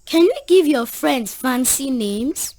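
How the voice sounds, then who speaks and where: A woman's voice: high voice, lively intonation, takes it slowly, a little mellow, no echo, exaggerated voice. A woman voicing an adventurous, cheerful seven-year-old girl who guides young viewers in an educational cartoon, encouraging and questioning. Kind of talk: educational children's cartoon voice acting.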